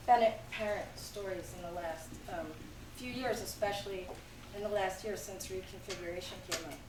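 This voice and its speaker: A woman with a hoarse voice from a cold, giving a public comment at a town meeting from notes. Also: mid-range voice. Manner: reading from notes, brisk but halting. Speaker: school board candidate. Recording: echoey room from far off, faint noise.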